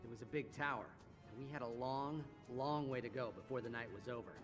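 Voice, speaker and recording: A man's voice: medium pitch, brisk, lightly acted. An actor playing an earnest, responsible young turtle who leads his team and recounts events with a touch of sarcasm. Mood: narrating. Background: music.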